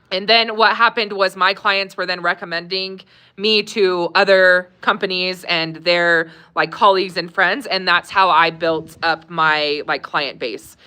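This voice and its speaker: A woman's voice: mid-range voice, smooth. A woman hosting a live Q&A stream, chatting casually with many fillers, laughing often and reading viewer comments aloud. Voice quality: warm, conversational, many fillers.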